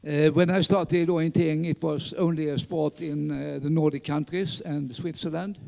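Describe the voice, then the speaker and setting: An older man from southern Sweden with a Swedish accent, speaking English as a fourth language, giving a halting talk at a lectern full of fillers and restarts. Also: a little high, fairly even intonation, creaky, dark tone. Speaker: conference speaker. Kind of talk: reminiscing conference talk. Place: large conference hall. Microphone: lectern mic. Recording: reverberant hall.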